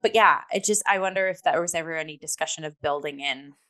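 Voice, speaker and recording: A woman co-hosting a casual review talk show, speaking in an animated, conversational way peppered with filler words. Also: sits low, fast.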